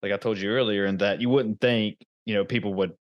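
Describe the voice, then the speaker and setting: A man's voice: on the low side, steady tone, fairly quick, no room sound, lo-fi sound. A man from rural Virginia hosting a casual interview, talking in a rambling, informal way. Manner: rambling, informal, with many fillers. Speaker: podcast host.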